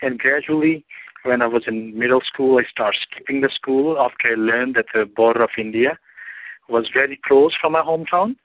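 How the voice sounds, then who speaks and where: A man's voice: medium pitch, moderate pace, no room sound, narrow, tinny audio. A man from Pakistan with a Pakistani accent, telling his story as a journalist guest in an interview in long, winding sentences. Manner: non-native phrasing, long winding sentences. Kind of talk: interview answer, personal story.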